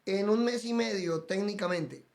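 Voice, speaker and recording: A man with a strikingly high voice hosts a podcast interview. He speaks emphatically, like a coach rallying his audience, and he keeps turning back to his guest by name. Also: moderate pace, vocal fry, darkish tone. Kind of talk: energetic interview hosting.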